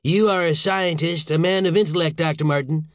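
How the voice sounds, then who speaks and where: Male narrator as enraged older government boss, raised pitch, howling, snapping, indignant, audiobook character, dry sound. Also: lively intonation, quick pace, dry recording. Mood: enraged, incredulous.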